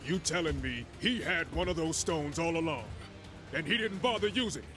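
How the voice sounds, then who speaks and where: An actor playing a down-to-earth, streetwise superhero in a video game, colloquial and plain-spoken. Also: male voice, fairly high pitch, lively intonation, moderate pace, music in the background, lightly acted. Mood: incredulous. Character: down-to-earth streetwise male superhero, a married everyman. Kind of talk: video game cutscene acting.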